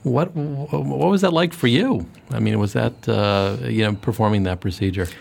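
Gravelly male radio interviewer. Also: medium pitch, normal speed, a little mellow. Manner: conversational, rephrases questions mid-sentence. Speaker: radio show host and interviewer.

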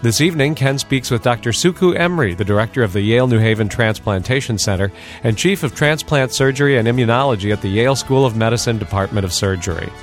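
A man's voice: mid-range voice, animated, fast talker, soft background music. A man announcing a radio show in a formal, scripted introduction.

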